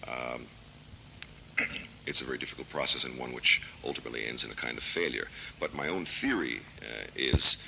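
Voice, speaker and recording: American guy in his 30s, super deep gravelly voice, measured and thoughtful, little hiss behind. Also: pushed and bright, poor audio. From United States. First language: English. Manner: thoughtful, measured, full of hesitations. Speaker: literary translator. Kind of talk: interview answers.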